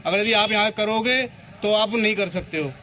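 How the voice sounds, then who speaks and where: A man answers a reporter as a local activist during an outdoor news interview. His voice is fairly high for a man and has a sharp, forceful edge. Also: moderate pace. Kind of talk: vox pop answer to a reporter. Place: outdoors on location.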